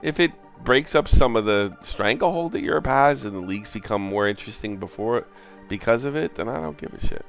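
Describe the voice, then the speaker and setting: A man's voice: mid-range voice, animated, a little fast, gravelly, hardly any pauses, lo-fi sound, soft background music. A man co-hosting a soccer watch-along stream, talking in a rambling, profane and emphatic way. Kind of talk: casual opinionated sports chat.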